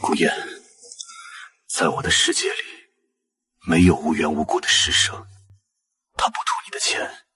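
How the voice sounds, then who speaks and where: A man playing a suspicious, combative, protective figure in an animated web drama, curt and confrontational. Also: extremely low pitch, measured pace, pauses often, breathy edge, exaggerated voice.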